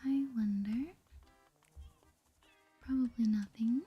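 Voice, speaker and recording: A woman speaking softly in a breathy whisper, chatting through an ASMR game playthrough. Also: a little high, slow, dull audio. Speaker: gaming video host. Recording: soft music behind the voice. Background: music.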